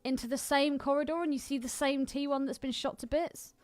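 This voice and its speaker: Higher-pitched woman, bluntly opinionated. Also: steady tone, brisk, no echo, soft background music. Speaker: podcast co-host. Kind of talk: casual film commentary chat.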